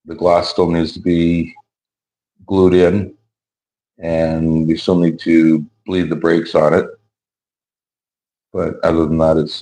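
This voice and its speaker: A hoarse man chatting casually on his vlog as a YouTuber and car builder. He rambles in an easygoing way, restarting his sentences, into a wireless clip-on mic. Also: extremely low pitch, a little mellow, rough recording.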